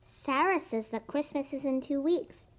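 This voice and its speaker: An actor voicing a curious, excitable four-year-old boy in a preschool cartoon, with simple, eager, childlike phrasing. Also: sits high, a little slow. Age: young child character.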